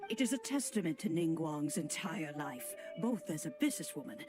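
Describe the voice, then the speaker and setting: A man voicing a calm, courteous, scholarly mediator in a fantasy game, explaining in measured, formal phrases. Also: a little high, music in the background. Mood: calm, soothing.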